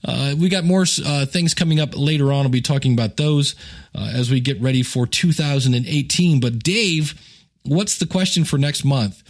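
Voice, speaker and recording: A man's voice: mid-range voice, normal speed. Chatty, joking man hosting a podcast. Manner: chatty, joking, self-deprecating, rambling asides. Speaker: podcast host and podcasting teacher. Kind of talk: casual solo podcast talk with plugs and announcements.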